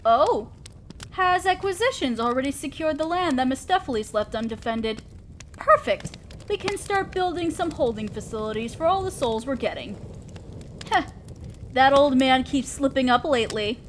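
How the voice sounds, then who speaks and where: A woman plays an imperious demon lord of hell with a distinctly high feminine voice. She is commanding and condescending, an exacting boss in an audio roleplay. Clear background noise and ambience sit behind her. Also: lively intonation, normal speed. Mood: businesslike, wry. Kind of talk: scripted roleplay audio drama monologue.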